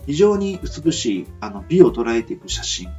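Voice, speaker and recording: A man hosts a homemade radio show with a very animated, swinging intonation. He speaks politely and earnestly, feeling for his words as he muses. The sound is a little muffled and lo-fi, with guitar music underneath. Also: medium pitch, normal speed. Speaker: radio host. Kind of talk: rambling reflective monologue for an amateur radio show. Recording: dull lo-fi audio.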